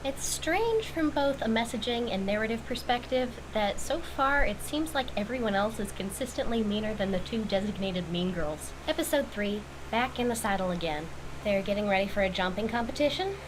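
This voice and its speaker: A woman's voice: medium pitch, animated, normal speed. A woman giving wry, critical recap commentary on a cartoon, recorded with noise in the background. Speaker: video commentator reviewing a cartoon.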